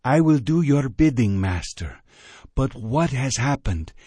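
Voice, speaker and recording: A man voicing an anguished, power-hungry man who pleads with a spirit and barks at his staff, in a higher, louder voice than his narration. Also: expressive, measured pace, husky, dry recording. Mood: pleading. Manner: pleading, then barking orders.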